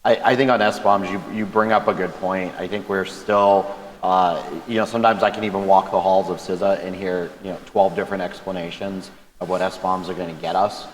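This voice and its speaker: A man with a loud, very low voice speaks on a conference panel. He talks conversationally, stumbling and repeating words as he goes. The sound is roomy, with a long hall reverb and faint ambience behind him. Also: fairly even intonation, a little fast, slight background noise. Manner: conversational, frequent restarts and stammered repeats. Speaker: government panelist. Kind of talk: panel discussion.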